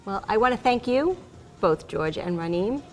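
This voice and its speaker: A woman's voice: medium pitch, lively intonation, moderate pace. A woman hosting an interview, asking friendly questions and giving short affirmations. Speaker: interviewer host. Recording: music playing behind.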